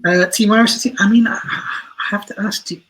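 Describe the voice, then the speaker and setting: Husky-voiced man, thoughtful interview guest. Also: high-pitched, fairly even intonation, moderate pace, fairly bright tone, rough recording. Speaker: interview guest, audio drama writer. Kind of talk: reflective interview talk.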